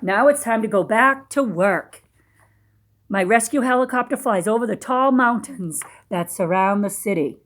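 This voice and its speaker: Higher-voiced woman reading a children's storybook. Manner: teacherly, pausing to question and explain to child listeners. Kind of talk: read-aloud with simple explanations for young children.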